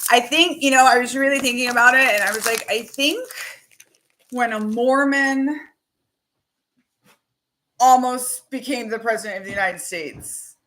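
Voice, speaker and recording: A woman talking casually and animatedly as host, with quick asides, laughs and the odd swear word. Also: a little high, a bit bright, poor audio. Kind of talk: casual chat to camera.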